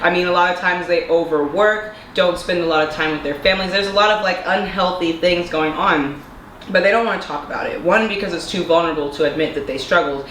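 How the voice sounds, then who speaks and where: A woman's voice: fairly low pitch, a little fast, a bit bright. A young woman vlogger, a little congested, talking earnestly to camera in a casual monologue and throwing out rhetorical questions. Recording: echoey room with faint noise.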